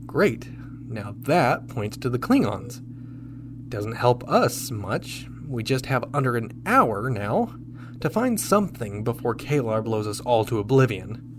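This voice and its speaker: A man's voice: medium pitch, very animated, measured pace, noisy background. A man playing a stern, exasperated starship captain in a sci-fi radio drama, blunt and questioning. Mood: weary, exasperated. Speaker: voice actor.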